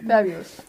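A woman with a husky voice chatting casually as a player in a tabletop game. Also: very noisy.

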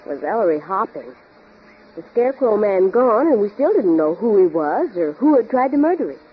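Animated, eager American gal sidekick. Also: female voice, on the high side. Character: eager, chatty young female sidekick. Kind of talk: 1930s radio drama acting and narration. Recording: tinny, noisy 1930s radio recording.

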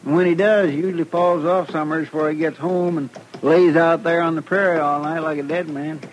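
An actor playing a folksy, hard-up frontier sidekick with a rural Western drawl in an old-time radio western. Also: male voice, a little high, fairly even intonation, a little fast, natural voice. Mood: scornful, animated. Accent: rural Western American drawl. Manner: folksy, rambling, colloquial. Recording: noisy old radio drama recording.

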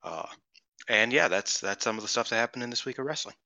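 A man's voice: medium pitch, fast, pushed and bright, no room sound. A man co-hosting a podcast, congested, chatting casually and rambling with lots of fillers and the odd swear word. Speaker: podcast co-host. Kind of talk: casual chat.

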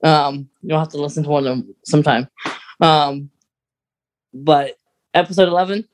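A podcast co-host with a medium-pitched voice. The delivery is casual and conversational, like a fan talking things over with a friend. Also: steady tone, moderate pace.

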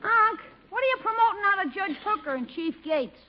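A man's voice: extremely high pitch, animated, a little fast. A male actor voicing a cheeky, wisecracking young nephew in a sassy 1950s radio comedy style. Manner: sassy, incredulous. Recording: muffled noisy vintage radio recording.

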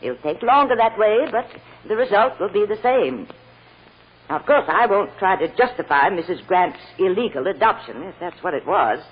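An American actress playing a brisk, plain-spoken child psychologist in an old radio drama, firm and folksy. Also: female voice, mid-range voice, expressive, breathy edge. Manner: no-nonsense, folksy, decisive. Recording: dull old radio recording with hiss.

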